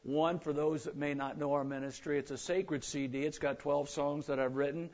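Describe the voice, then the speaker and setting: An older man with a gravelly, rough voice, a visiting gospel singer at a church service, chatting folksily between songs. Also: fairly high pitch, flat delivery, normal speed, a little mellow. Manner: folksy, self-deprecating patter between songs. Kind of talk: church singing and chat. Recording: muffled, lo-fi with instrumental backing.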